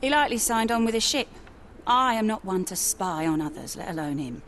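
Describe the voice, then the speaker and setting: A woman's voice: mid-range voice, lively intonation, a little fast, a bit bright, slight background noise, lightly acted. An actress playing a guarded, plain-spoken woman who runs a Victorian port tavern in a video game. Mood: wary, matter-of-fact. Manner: wary, matter-of-fact. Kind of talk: video game cutscene acting.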